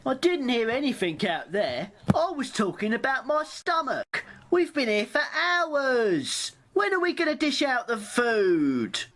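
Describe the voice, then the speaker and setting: Woman acting a sarcastic, hungry stranded passenger, very animated. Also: a little high, unhurried, few pauses, a little hiss or hum. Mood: grumbling. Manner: sarcastic, argumentative. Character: cheeky hungry woman stranded on a train, mocking and grumbling. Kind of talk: amateur radio drama acting.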